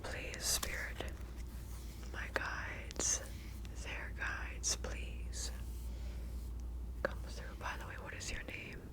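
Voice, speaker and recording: A woman whispering an ASMR roleplay as a kindly witch who reads tarot, softly and unhurriedly, repeating words and using terms of endearment. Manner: soft, unhurried, repeats words, affectionate address.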